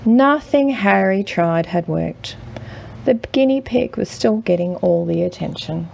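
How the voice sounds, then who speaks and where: A woman reading a children's picture book aloud as a mother would, expressively and with dramatic pauses. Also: medium pitch, animated, vocal fry, muffled recording, a little hiss or hum. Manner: measured, with dramatic pauses and hushed drawn-out words. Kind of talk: expressive children's storybook narration.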